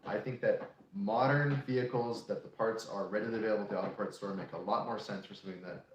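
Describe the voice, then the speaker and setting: A man in his late twenties, co-hosting a casual live Q&A and explaining things in a relaxed, practical way. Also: fairly low pitch, fast. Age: 20s. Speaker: co-host of a homesteading channel. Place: room in an off-grid shop. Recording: echoey room.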